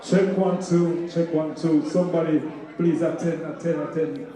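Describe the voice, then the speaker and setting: A man, a sound system selector, testing the mic and giving relaxed shout-outs. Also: on the high side, normal speed. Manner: relaxed, repetitive, giving respect. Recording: echoey hall.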